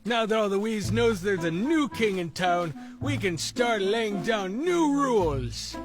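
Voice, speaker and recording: A man's voice: high-pitched, animated, measured pace. A man voicing a nervous, fawning cartoon henchman who talks hesitantly to please his master. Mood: fawning, eager. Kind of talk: animated cartoon voice acting. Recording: clear music behind the voice.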